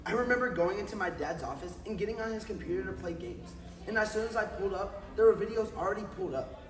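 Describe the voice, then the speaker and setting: A man's voice: high-pitched, lively intonation, fast, crowd noise. A young man playing an ashamed married addict in readers theatre, earnestly projecting his confession. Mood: regretful confession. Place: large carpeted conference room, far camera. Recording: echoey hall, distant microphone.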